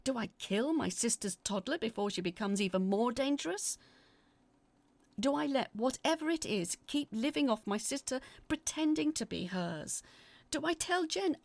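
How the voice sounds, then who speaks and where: A woman acting as an anxious narrator, telling a scripted first-person horror story in a confiding, uneasy, dramatic way with a husky, creaky voice. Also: fairly high pitch, lively intonation, normal speed, dry recording. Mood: anxious, pleading. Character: anxious woman reading out her frightened letters about sinister events.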